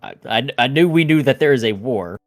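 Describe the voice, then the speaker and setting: Halting man talking through the plan. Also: mid-range voice, animated, faint music behind. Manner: halting, stumbles and restarts. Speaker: player. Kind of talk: casual banter among players.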